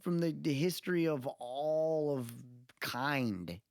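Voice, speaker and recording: A man's voice: on the high side, animated, slow, a little mellow, dry recording. Rambling male podcaster with a dry, close sound. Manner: rambling, emphatic, often checks with listener "you know what I mean". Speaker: podcast co-host. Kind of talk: casual opinionated podcast chat. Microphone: close mic on remote podcast call.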